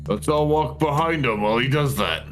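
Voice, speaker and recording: A man's voice: medium pitch, expressive, brisk. A man talking casually as a player in a tabletop roleplaying game, with music behind him.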